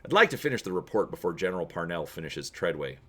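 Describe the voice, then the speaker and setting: A man's voice: low voice, big pitch swings, fast talker, fairly bright tone, heavily acted. A man voicing an earnest, guarded young undercover hero in a dramatic story reading.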